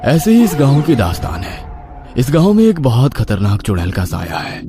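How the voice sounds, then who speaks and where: A man's voice: mid-range voice, wildly swooping pitch, normal speed. A man narrating a scripted horror story in a dramatic, suspenseful manner. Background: background music.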